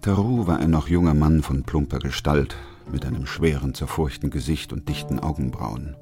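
Deep-voiced, restrained doctor. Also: male voice, normal speed, mellow, soft background music. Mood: grave, restrained. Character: weary, principled doctor narrating in grave, restrained manner. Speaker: lead actor and narrator. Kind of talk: radio drama acting and narration.

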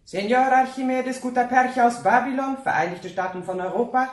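A woman's voice: fairly high pitch, fairly quick, recorded from a distance, slight background noise, natural voice. An actress playing a dictator's armed female aide in a German radio drama, formal, clipped and deferential. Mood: formal announcing. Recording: echoey room, off mic.

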